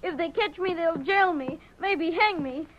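An actor playing a frightened young frontier boy on the run in a 1930s radio drama, pleading and halting in rustic speech. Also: very high voice. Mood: anxious, pleading. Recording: crackly muffled 1930s radio recording.

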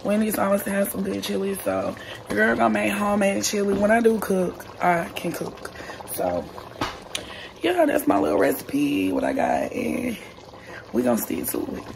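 A young woman with a hoarse, raspy voice chatting casually to viewers while she cooks in her home kitchen. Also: medium pitch, big pitch swings, normal speed, background noise. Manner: chatty, talks straight to viewers. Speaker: vlogger. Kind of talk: casual cooking vlog. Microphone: phone camera held close.

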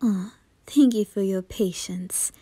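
A woman voicing a gentle, doting, deferential maidservant in an ASMR roleplay, whispering in a courteous, soothing manner. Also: mid-range voice, slow, husky, no echo, voice pushed down.

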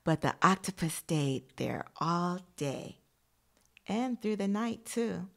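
A woman's voice: on the low side, expressive, slow pace, creaky, fairly bright tone. A woman teacher reading a picture book aloud expressively to young children, adding encouraging asides addressed straight to them.

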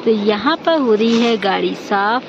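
A woman's voice: fairly high pitch, animated, measured pace. A woman in her thirties chatting to viewers as a travel vlogger from inside a car on a mountain road, recorded on a phone camera. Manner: chatty, talks to viewers while touring. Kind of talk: casual travel vlog chat. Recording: dull lo-fi sound with loud road noise.

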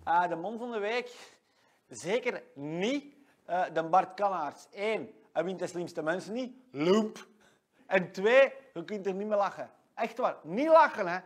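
A man's voice: sits high, lively intonation, a little slow. An actor playing a cocky 22-year-old shop salesman with a Leuven Flemish accent, who boasts and talks about himself in the third person in a comedy monologue. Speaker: comic character giving weekly rundown.

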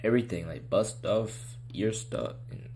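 A man's voice: lowish voice, measured pace, a little mellow. Slangy man reacting over a video. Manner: blunt, slangy, talks over the clip. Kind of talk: casual reaction commentary.

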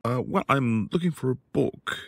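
Male actor as bookish professor husband, low gravelly voice, soft-spoken, apologetic, affectionate, intimate ASMR roleplay, very close dry sound. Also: expressive, moderate pace, no echo. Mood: tender, reassuring.

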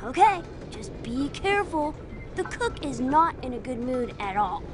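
A woman's voice: high-pitched, big pitch swings, measured pace. A woman voicing a timid, cautious child in a video game, plaintive and hesitant. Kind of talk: video game cutscene acting. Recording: noisy train ambience behind.

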